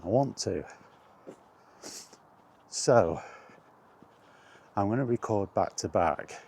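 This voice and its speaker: A man's voice: lowish voice, animated, slow pace, vocal fry, darkish tone, slightly rough. A man chatting casually as the host of a walking vlog, thinking aloud in short, broken phrases outdoors. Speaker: host of a walk-and-talk retro gaming vlog. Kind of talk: casual rambling chat. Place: outdoors on a walk.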